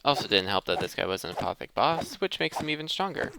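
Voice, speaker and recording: A man's voice: medium pitch, sing-song, fast talker, creaky, noisy background. A man hosting a gameplay video, thinking aloud in a casual commentary addressed to his viewers. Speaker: host of a gaming let's play series.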